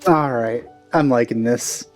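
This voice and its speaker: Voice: medium pitch, unhurried, darkish tone. A let's play host giving casual gameplay commentary, reacting and musing aloud. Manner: reacts aloud, muses to self. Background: faint game music.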